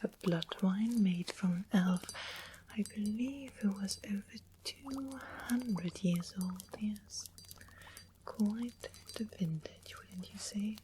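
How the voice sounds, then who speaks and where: A woman's voice: medium pitch, slow pace, mellow, slight background noise, natural voice, poor audio. A woman playing a sweetly sinister witch in an ASMR fantasy roleplay, whispering coyly and coaxingly with doting endearments. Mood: coaxing, sly.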